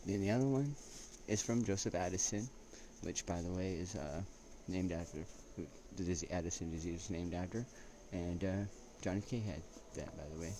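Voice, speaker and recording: A man with a low voice chats as a vlog host. His delivery is laid-back and halting, drifting between casual asides and read-out lines, with frequent little check-ins. Clear ambient noise runs behind him. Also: mellow. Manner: laid-back, halting, often checking in with "right?" and "you know". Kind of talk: rambling casual chat with read-out quotes. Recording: clearly noisy recording with ambient sound.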